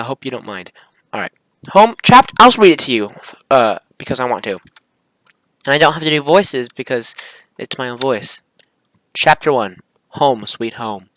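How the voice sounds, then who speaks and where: A man's voice: medium pitch, a bit bright, no echo, low-quality recording. A young man giving informal, rambling screen-recording commentary and reading his script aloud, often correcting himself. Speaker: video creator.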